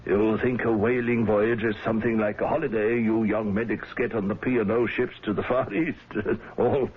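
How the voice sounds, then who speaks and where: An actor playing a gruff but genial middle-aged whaling fleet owner in a radio drama, avuncular and a little hesitant. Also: male voice, fairly low pitch, level tone, normal speed. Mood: brusque, amused. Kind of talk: old-time radio drama acting. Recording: dull, lo-fi old radio broadcast.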